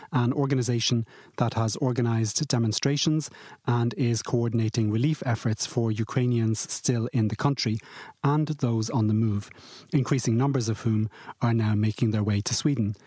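Husky-voiced male podcast host. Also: medium pitch, darkish tone, no room sound, clean studio sound. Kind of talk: scripted interview introduction and questions.

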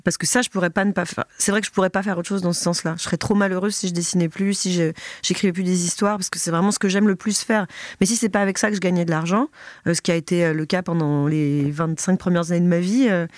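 A woman's voice: fairly low pitch, fairly even intonation, quick pace, no echo. A woman in her thirties with a stuffy head cold, chatting casually as a podcast guest, swearing casually and acting out other people's voices. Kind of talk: casual chat.

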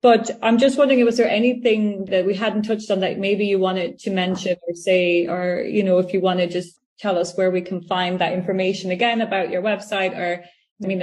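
A woman's voice: medium pitch, little pitch movement, fairly quick, darkish tone. A woman hosting a casual interview podcast, curious and chatty, peppering her speech with "you know". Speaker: podcast host.

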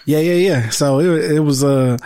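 A man's voice: a little high, normal speed, warm tone, dry recording. A man hosting a casual podcast, joking around and laughing often with his co-hosts.

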